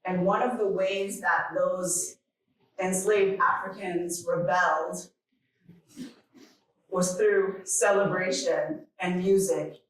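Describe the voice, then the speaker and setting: In a very reverberant hall, picked up from a distance, a woman from Jamaica gives a keynote address. She speaks slowly and conversationally, reflecting as she goes and leaving deliberate pauses. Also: lowish voice, steady tone. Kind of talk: informal keynote speech. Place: large echoing conference hall. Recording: very echoey hall, distant microphone.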